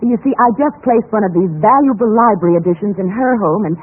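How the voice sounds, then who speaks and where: A woman's voice: medium pitch, quick pace, husky, close to an everyday voice. Casting: woman to play a courteous, mannered lady in a radio mystery, theatrical period delivery, tinny old recording. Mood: polite, eager. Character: two women: polite housewife and eager young saleswoman. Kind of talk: 1940s-50s radio drama acting. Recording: thin, noisy vintage radio recording.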